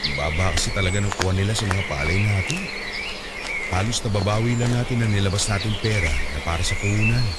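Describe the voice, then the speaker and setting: A man's voice: fairly low pitch, fast talker, very noisy, close to an everyday voice. A man playing a devoted, hardworking ageing farmer husband and father in a Tagalog radio-style drama, earnest and affectionate. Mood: apologetic, earnest.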